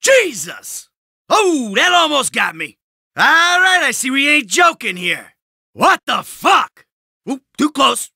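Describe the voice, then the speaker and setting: A man's voice: very high voice, big pitch swings, slow, vocal fry, bright, forceful tone, dry recording, clean studio sound, heavily acted. A man playing a cynical, short-tempered middle-aged American criminal in a video game, with a blunt, sardonic tough-guy delivery. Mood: agitated.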